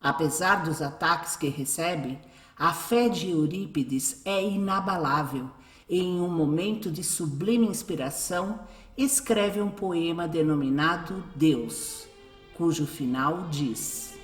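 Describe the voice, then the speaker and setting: A woman's voice: deep voice, slow pace. Brazilian woman narrating over music, echoey. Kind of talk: scripted radio drama narration. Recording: roomy echo, music behind.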